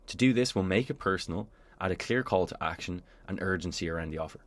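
A man presenting a software tutorial in scripted instructional narration, explaining clearly step by step. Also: sits low, fast talker.

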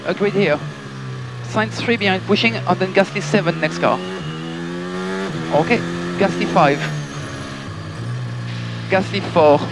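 A man's voice: sits high, vocal fry, heavy background noise. A man working as a race engineer, giving clipped, matter-of-fact instructions over team radio. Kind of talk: terse radio instructions to a racing driver.

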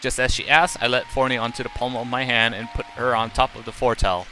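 Bright-voiced man reading aloud, some background noise. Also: medium pitch, little pitch movement, fast talker. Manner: plain, unacted reading with occasional stumbles. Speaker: live streamer. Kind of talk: reading visual novel text aloud with casual asides.

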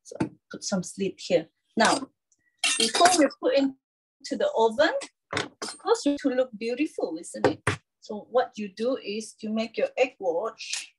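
A woman in her thirties presenting a live cooking demonstration from a home kitchen, in an instructional, halting way with frequent check-ins. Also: on the high side, noisy background. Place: small home kitchen, indoors.

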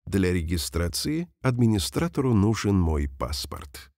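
A man reading example sentences slowly and carefully for language learners, saying each one twice. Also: low-pitched, sing-song, darkish tone, dry recording, studio quality. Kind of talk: slow repeated phrase reading for learners.

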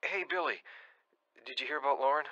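A man's voice: medium pitch, fairly quick, dull audio, very noisy, natural voice. An actor playing a young male friend passing on grim news in a radio drama. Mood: grave. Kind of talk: radio drama phone-call dialogue.

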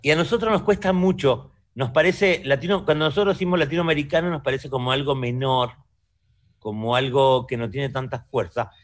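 A man's voice: medium pitch, fairly quick. Reflective Argentine man on a video call. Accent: Argentine Spanish. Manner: reflective, rhetorical, checks in with listener. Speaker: artist guest. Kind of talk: conversational interview. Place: room at home. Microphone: video call webcam.

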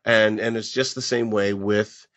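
Podcast host: middle-aged American male, slightly deep, conversational, dry lo-fi sound. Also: fairly even intonation, unhurried, a bit bright, dry recording. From Pekin, United States. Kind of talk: casual panel discussion of old-time radio. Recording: dull, lo-fi close recording.

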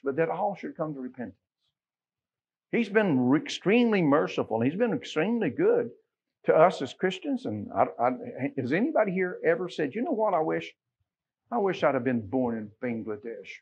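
American man, middle-aged, husky voice, medium pitch, folksy emphatic preaching, slightly dull church recording. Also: very animated, normal speed, darkish tone. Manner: conversational, folksy, emphatic with rhetorical questions. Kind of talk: sermon. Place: church sanctuary, at a pulpit.

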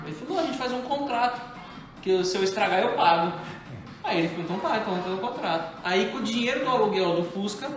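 High-voiced man telling stories in an echoey kitchen. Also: fast. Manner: animated storyteller, acts out dialogue. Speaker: host. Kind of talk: casual chat among friends. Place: home kitchen, indoors. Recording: echoey kitchen with music behind.

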